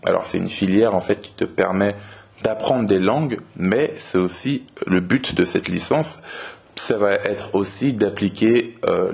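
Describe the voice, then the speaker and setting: A young man from France with a very low voice hosts a podcast. He talks slowly and plainly for learners. His delivery is relaxed and unhurried, with frequent hesitations. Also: vocal fry. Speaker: podcast host. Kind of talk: slow clear podcast talk for learners.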